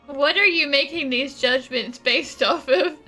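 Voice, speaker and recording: Bright, high-voiced woman gamer. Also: measured pace. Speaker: gamer commenting on gameplay. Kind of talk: casual, reactive gameplay commentary. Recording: faint game music behind. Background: video game music.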